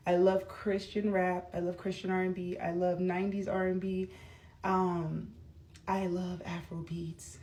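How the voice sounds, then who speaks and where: A woman's voice: on the low side, flat delivery, measured pace, dark tone, far from the mic. A woman in her thirties chatting casually to camera while doing her makeup, rambling with lots of fillers. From United States. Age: 30s. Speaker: vlogger.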